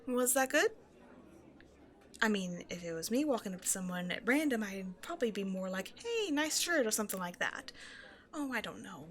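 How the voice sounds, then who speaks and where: A woman's voice: on the high side, a bit bright. A woman playing a shy, self-doubting young woman who rambles hesitantly in an intimate one-sided roleplay conversation with a stranger. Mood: shy, flustered. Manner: hesitant, apologetic, nervously overthinking, giggly.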